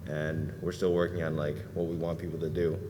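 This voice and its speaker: A young man in his late teens on the student board, giving a relaxed, easygoing report with "um" and "like" fillers. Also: a bass voice, a little fast. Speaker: student association board member. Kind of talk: casual report at a meeting. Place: school board meeting room. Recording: reverberant room with steady background noise.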